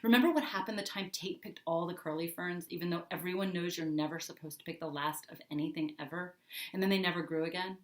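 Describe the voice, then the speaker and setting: Exasperated older boy, gruff and firm, performed by a female storybook reader; lowish pitch, echoey room. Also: quick pace, lightly acted. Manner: firm, insistent. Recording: roomy, echoing indoor sound.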